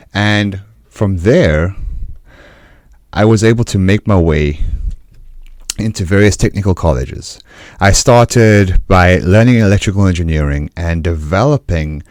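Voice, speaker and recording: This is a man with a South African accent and a notably deep, low voice, hosting his own podcast. He tells his life story in an earnest, measured way, pausing deliberately between phrases. The sound is dry and close, with no room echo. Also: darkish tone. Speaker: podcast host. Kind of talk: solo personal storytelling monologue.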